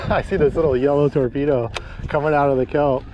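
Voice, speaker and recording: A man's voice: on the high side, fairly even intonation, fairly quick, highs cut off. A man chatting casually while fishing, speaking in slangy, clipped exclamations outdoors on a small boat. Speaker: angler filming a fishing trip. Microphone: action camera worn on the body. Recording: loud wind, water and boat noise. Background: sea, boat and wind noise.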